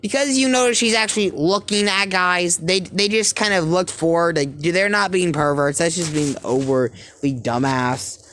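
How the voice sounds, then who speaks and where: A woman's voice: lowish voice, normal speed, hardly any pauses, fairly bright tone. A woman giving casual, rambling commentary on a cartoon, with restarts and lots of "like". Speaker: video commentator.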